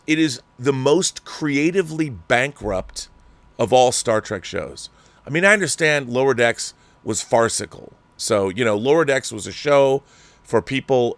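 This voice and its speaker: A man's voice: medium pitch, fairly bright tone, dry recording. Sarcastic, exasperated man ranting. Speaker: show host. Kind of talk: ranting commentary monologue.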